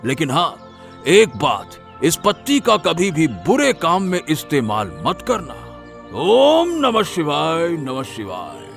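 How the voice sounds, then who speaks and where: A man voicing a solemn old holy sage in an animated moral story, with slow, drawn-out pronouncements and a gravelly voice. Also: a little high, faint music behind, exaggerated voice. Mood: solemn, benevolent.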